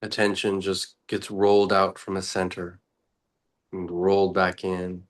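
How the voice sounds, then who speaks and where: A man speaking as a meditation teacher, guiding reflectively and unscripted in short, contemplative phrases with long pauses. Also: low voice, completely flat delivery.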